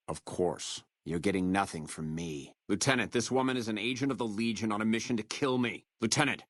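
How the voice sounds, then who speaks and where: Casting: proud captured legion officer for a game, very low voice, contemptuous and threatening, dry close sound. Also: male voice, fairly bright tone, no room sound, full character voice. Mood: taunting, menacing. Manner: contemptuous, measured, menacing delivery. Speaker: video game voice actor. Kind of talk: video game character dialogue.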